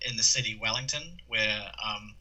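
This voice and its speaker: Bright-voiced New Zealand man, rambling. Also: on the low side, normal speed, low-quality recording. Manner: rambling, many fillers and restarts. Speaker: podcast guest. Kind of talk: casual chat.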